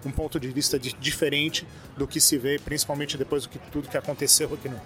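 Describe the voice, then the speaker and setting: A man with a Brazilian Portuguese accent speaking as an interview guest, relaxed and halting as he thinks aloud. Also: fairly high pitch, soft background music. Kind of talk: casual conversation. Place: conference event venue.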